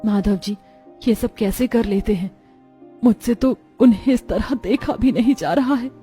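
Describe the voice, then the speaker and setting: A woman's voice: fairly high pitch, a little slow, dark tone, faint music behind, lightly acted. A woman voicing a worried, caring woman of a poor household in a melodramatic children's cartoon, earnest and plaintive.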